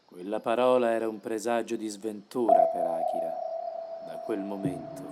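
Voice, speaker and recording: A man's voice: lowish voice, steady tone, normal speed, lightly acted. A man giving grave, ominous scripted narration for an anime motion comic. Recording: very loud noise behind voice.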